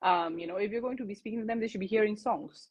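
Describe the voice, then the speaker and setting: A woman's voice: mid-range voice, quick pace, darkish tone, breathy edge. A woman from Singapore talking in a casual interview, chatty, with plenty of fillers and restarts.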